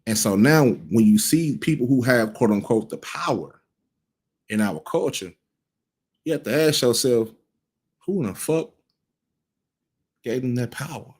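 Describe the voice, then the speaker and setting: A man's voice: medium pitch, animated, measured pace, pauses often, darkish tone, husky. A man in his thirties giving casual cultural commentary, blunt and profane, rambling with frequent restarts. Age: 30s.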